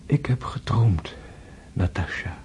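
An actor playing a gravely wounded prince in a radio drama, tender and philosophical, speaking in hushed, halting, weakened tones. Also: male voice, lowish voice, expressive. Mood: haunted, fearful. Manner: hushed, halting, intense delivery, often whispering. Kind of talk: Dutch radio drama acting.

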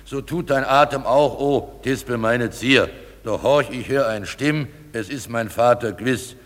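A man's voice: mid-range voice, a little slow, close to an everyday voice. A man playing a blustering, self-assured simple craftsman who acts as an amateur in a comic German radio drama. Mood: stilted, declaiming. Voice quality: gravelly.

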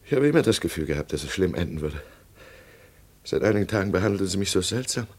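Casting: anguished doctor under suspicion, very deep husky voice, halting and heavy, vintage radio drama. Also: male voice, animated, a little mellow. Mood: anxious, brooding. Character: despairing doctor expecting arrest for poisoning his wife. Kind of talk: 1950s German radio drama acting.

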